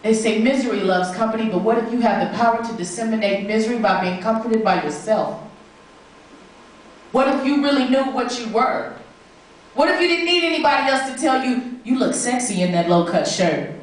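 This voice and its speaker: A woman's voice: fairly high pitch, lively intonation, fairly quick. A woman performing spoken word poetry live, with an emphatic, dramatic, rhythmic delivery. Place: live stage performance in an echoey room. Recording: very echoey room, distant mic.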